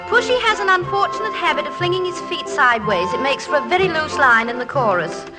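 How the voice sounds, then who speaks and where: An actress playing a gracious, gently wry college president's wife who coaches students, in 1940s radio comedy style. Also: female voice, high voice, animated, quick pace. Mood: briskly instructive. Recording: 1940s radio broadcast, music underneath.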